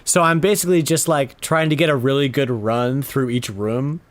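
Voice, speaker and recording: A man hosting a casual video game podcast, talking with animated, exasperated emphasis. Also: medium pitch, normal speed. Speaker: podcast host.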